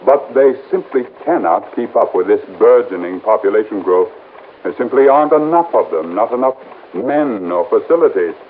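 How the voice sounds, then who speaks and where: A man's voice: lowish voice, lively intonation, normal speed, natural voice. Man as fussy insurance executive, tinny old radio. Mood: defensive, wordy. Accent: American. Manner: hesitant, wordy, formal. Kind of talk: 1950s radio drama acting. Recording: thin, faintly noisy old radio recording.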